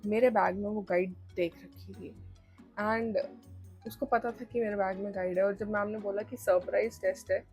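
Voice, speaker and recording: A woman's voice: medium pitch, steady tone, moderate pace, darkish tone, faint music behind. A young woman with an Indian accent telling a personal story in a casual, chatty way, mixing in English and repeating phrases for emphasis. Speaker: storytime vlogger.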